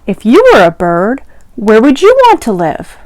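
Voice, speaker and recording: A woman leading a children's storytime, reading aloud in an expressive sing-song way and pausing after questions. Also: fairly high pitch, dry recording. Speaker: library storytime reader. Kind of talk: interactive children's read-aloud.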